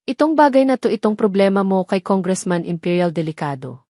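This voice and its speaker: A woman narrator plays an older police general in an audio drama. He speaks gravely and with command, a father figure with a stern, cold edge. The sound is dry and close. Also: mid-range voice, expressive, fairly quick, mellow, no echo. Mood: grave warning.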